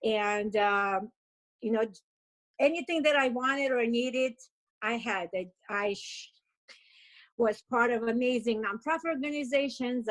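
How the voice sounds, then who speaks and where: A woman's voice: on the high side, low-quality recording. A woman in her fifties with a Russian accent, a guest telling her personal story in an emotional, upbeat way. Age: 50s. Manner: emotional, upbeat, uses "like" and "you know" a lot. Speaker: interview guest.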